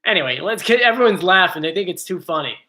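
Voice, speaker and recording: A man's voice: sits high, lively intonation, quick pace, bright, forceful tone. A man hosting a casual live stream from home, chatty and joking, easily drifting off topic. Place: home, bedroom area. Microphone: computer mic.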